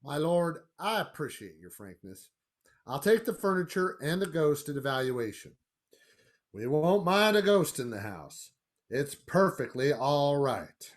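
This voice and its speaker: A confident American businessman in a radio play. His voice sits high and swings animatedly as he blusters and dismisses what he is told with emphatic certainty. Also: sing-song, measured pace. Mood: dismissive.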